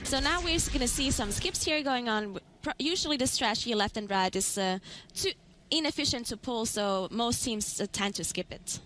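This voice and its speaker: A woman's voice: on the high side, lively intonation, normal speed, fairly bright tone, a little hiss or hum, poor audio. A woman giving live, analytical esports commentary, explaining tactics as play unfolds.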